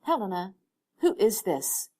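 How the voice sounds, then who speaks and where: A woman's voice: medium pitch, sing-song, unhurried, darkish tone, voice pushed up. A woman voicing a firm, formal, protective female assistant who exasperates easily in an audiobook story. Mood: insistent.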